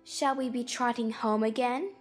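A woman's voice: fairly high pitch, fairly even intonation, moderate pace, faint music behind, lightly acted. A woman playing an agreeable storybook twin brother who recites nursery verse. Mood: storytelling. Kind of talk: dramatized audiobook acting.

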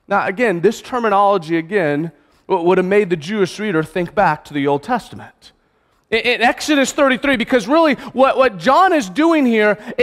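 A man in his thirties preaching a sermon on a church stage, earnest and emphatic, drawing out key words and restarting phrases. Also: very high-pitched. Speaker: pastor. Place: church stage in a large hall. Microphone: stage mic, far camera. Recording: reverberant church hall.

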